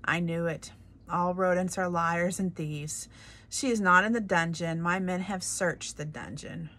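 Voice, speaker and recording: A woman's voice: on the low side, unhurried, bright, forceful tone. A woman voicing a grieving, blustering king who bellows indignantly.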